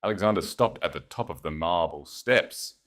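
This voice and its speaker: A man narrates scripted dramatic fiction in a very deep voice. His delivery is measured and solemn, with a theatrical weight to the phrasing. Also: lively intonation, a bit bright.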